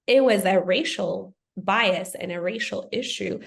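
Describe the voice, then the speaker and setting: Woman from Ethiopia, podcast guest, earnest and reflective, mid-pitched voice. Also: a little slow, creaky. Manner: thoughtful, earnest, with frequent fillers. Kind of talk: podcast conversation.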